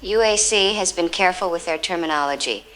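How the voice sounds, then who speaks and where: A woman's voice: on the low side, fairly quick, a bit bright, a little hiss or hum, close to an everyday voice. An actress playing a cautious, frank female scientific analyst, careful and explanatory in a news interview. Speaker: expert analyst guest. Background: music.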